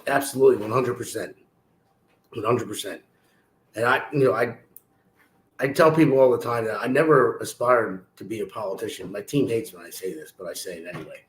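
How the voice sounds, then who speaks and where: A man in his forties from Philadelphia with a slightly hoarse voice, chatting casually as an interview guest on a video call. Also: lowish voice, brisk. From Philadelphia, USA. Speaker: interview guest, political candidate. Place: room at home, indoors. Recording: roomy echo on a video call.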